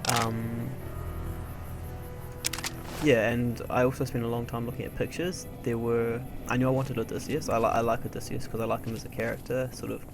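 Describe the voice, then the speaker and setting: A young man chatting casually over gameplay in an unhurried, hesitant, low-key way. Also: level tone. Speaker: let's play host. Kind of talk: casual gameplay commentary, rambling personal chat. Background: video game music.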